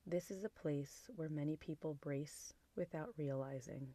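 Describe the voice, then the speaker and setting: A woman guiding a body scan meditation in a soft, gentle, soothing manner, with phrases trailing into a near-whisper. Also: low-pitched, normal speed. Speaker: meditation guide.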